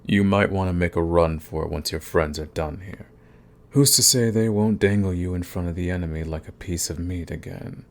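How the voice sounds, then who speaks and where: A man playing a cocky, menacing villain in an audio roleplay, taunting the listener with smug, unhurried threats. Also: low-pitched, a little hiss or hum. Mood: cool mocking. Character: cocky, menacing male villain, coolly fond of his enemy. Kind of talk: ASMR roleplay acting, addressing the listener.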